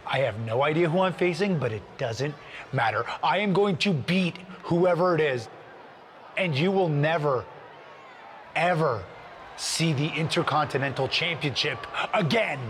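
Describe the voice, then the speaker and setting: An actor playing a defiant, cocky wrestling champion giving an emphatic, boastful promo in a video game. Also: male voice, on the high side, a little slow, fairly bright tone, a little hiss or hum.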